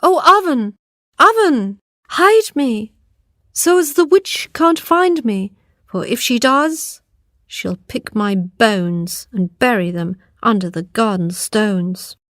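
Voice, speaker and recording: A woman's voice: on the high side, expressive, takes it slowly, a bit bright, no room sound, studio quality. A woman voicing a frightened young servant girl in a storybook, pleading urgently for help. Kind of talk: storybook character voice.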